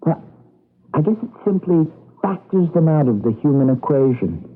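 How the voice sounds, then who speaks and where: A man's voice: a little high, sing-song, moderate pace. An actor playing a detached, knowing man of the future, speaking matter-of-factly in a 1980s radio drama. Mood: cool explaining. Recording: muffled tinny radio recording, faint hiss.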